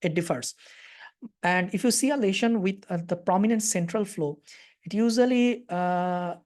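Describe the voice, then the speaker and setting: A woman's voice: mid-range voice, moderate pace, a little mellow. A woman with a Bangladeshi accent giving a live webinar lecture and answering questions, talking with frequent hesitations and fillers. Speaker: radiology lecturer.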